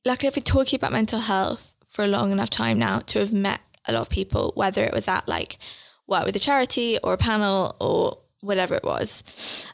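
Young woman, earnest and chatty, some vocal fry, middle pitch. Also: animated. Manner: earnest, fast-flowing, lots of "like" and "you know". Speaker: guest author. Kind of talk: casual radio chat.

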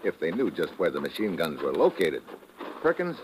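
A man's voice: sits low, huge pitch swings, moderate pace, slight background noise, poor audio. A man playing a blunt, hard-boiled American special agent who narrates his own story in a 1950s radio drama. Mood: wry. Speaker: narrator and lead.

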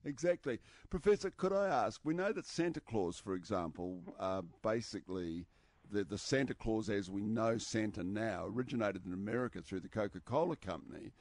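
A man's voice: lowish voice, animated, normal speed, a little mellow, no echo. Bantering New radio co-host, male. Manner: bantering, enthusiastic, teases his co-host. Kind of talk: casual radio chat interview. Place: radio studio.

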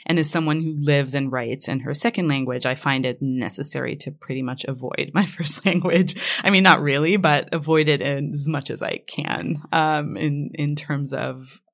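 A woman's voice: low voice, fairly even intonation, normal speed, hardly any pauses. A woman whose first language is Hebrew, a jet-lagged author talking in a thoughtful, hesitant way with many fillers. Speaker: author guest. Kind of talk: casual interview conversation.

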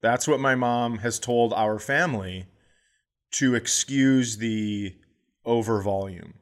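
A middle-aged man hosting a podcast, telling a funny story with lively asides and quick impressions of the people in it. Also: mid-range voice. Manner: animated anecdote-telling, mimicking others in quotes. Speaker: podcast host and comedian. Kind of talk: comic storytelling chat.

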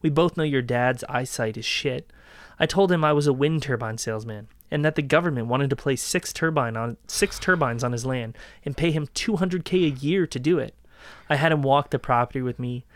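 A man's voice: medium pitch, a little fast, dry recording, natural voice. A man voicing a gloating, sadistic young male killer in a dramatic reading, taunting and menacing.

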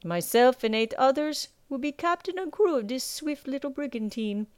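A woman voicing a gruff male sea captain who talks in rough sailor speech and keeps tagging his lines with "aye.". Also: high-pitched, expressive, normal speed, no room sound, studio quality, pitched above the performer's own voice. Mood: commanding.

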